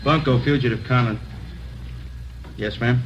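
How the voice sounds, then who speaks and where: An actor in a 1950s radio police drama playing a businesslike bunko detective, casual and clipped. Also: male voice, mid-range voice, fairly even intonation, a little slow, natural voice. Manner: casual, clipped, matter-of-fact. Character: brisk, businesslike male bunko squad detective. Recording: muffled, hissy vintage radio recording.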